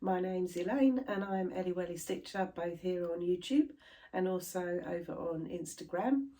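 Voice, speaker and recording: British woman, fairly low voice, stuffy and croaky, rambling casual chat, middle-aged. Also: normal speed, dark tone. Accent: British English. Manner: chatty, rambling, laughs easily. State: stuffy and croaky from a chest infection. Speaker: cross stitch vlogger.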